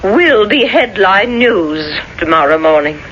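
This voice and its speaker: A woman's voice: mid-range voice, expressive, a little slow, fairly bright tone. An actress playing a stern, bitter middle-aged mother in a 1970s radio drama, biting and scolding. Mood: cold, furious. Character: stern, bitter, reproachful mother of a grown daughter. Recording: dull, noisy old radio broadcast recording.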